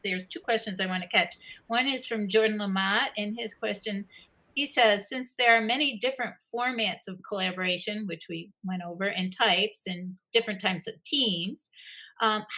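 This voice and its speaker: A woman's voice: medium pitch, pushed and bright, poor audio. An older woman moderating a panel over a video call, polite and reading out audience questions. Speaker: moderator, retired professor. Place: home room. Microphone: webcam on video call.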